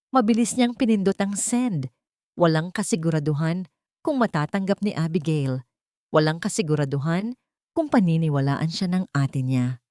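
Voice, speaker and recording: A woman's voice: fairly low pitch, darkish tone, no room sound, studio quality. A woman reading a scripted dramatic story as an audiobook narrator.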